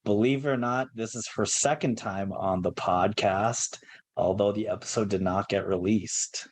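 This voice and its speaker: A man's voice: lowish voice, steady tone, moderate pace. A man co-hosting a podcast, chatting casually with a jokey manner and frequent laughs. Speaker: podcast co-host. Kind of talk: casual chat.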